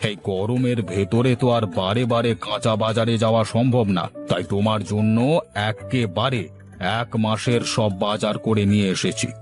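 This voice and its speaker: A man's voice: fairly low pitch, fairly even intonation, moderate pace, music in the background, lightly acted. A man voicing a greedy, pompous middle-aged village landlord in a cartoon. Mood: pleased. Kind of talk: cartoon voice acting.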